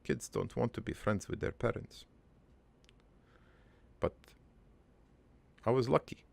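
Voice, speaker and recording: A man acts out a gravelly old cartoon father telling his life story from beyond the grave. He rambles haltingly, with crude deadpan humour and a wistful, doting streak. The voice creaks heavily, moves at an unhurried pace and sits close and dry. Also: mid-range voice, no echo, exaggerated voice. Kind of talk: improvised first-person cartoon monologue.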